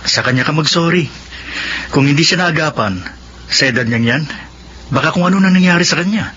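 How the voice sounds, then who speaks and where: A forceful, bright-voiced Filipino doctor, stern and brusque. He lectures with sarcasm in slightly dull drama audio with faint noise behind. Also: fairly high pitch, a little fast. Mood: scolding, irritated. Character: stern, scolding male doctor. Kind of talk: Filipino radio drama acting.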